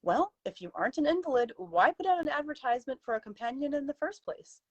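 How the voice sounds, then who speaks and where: Woman reading as a prim, high-pitched scolding Victorian companion. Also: sing-song, fast, no echo, highs cut off. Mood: reproving, brisk. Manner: prim, reproving, emphatic. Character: stern, outspoken Victorian lady's companion, unafraid to scold. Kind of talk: live dramatic story reading.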